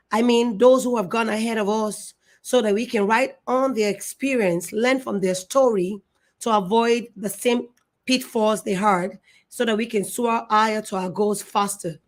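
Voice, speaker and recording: A middle-aged woman hosting a live online show, effusive and emotional, repeating herself and restarting her sentences. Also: fairly high pitch. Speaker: show host.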